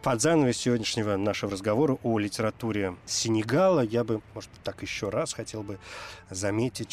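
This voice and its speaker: A man presenting on the radio, telling stories and talking about literature in an expressive way. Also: lowish voice, a little hiss or hum. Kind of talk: expressive storytelling and literary talk.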